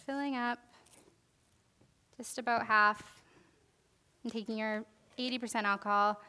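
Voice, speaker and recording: Young woman, bright clear voice, slightly high, casual lecturing with ums and restarts, church hall. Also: fairly even intonation, measured pace. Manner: reads from notes, then demonstrates with frequent fillers and restarts. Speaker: herbalism class presenter. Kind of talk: informal lecture and demonstration. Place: church hall stage, large indoor room.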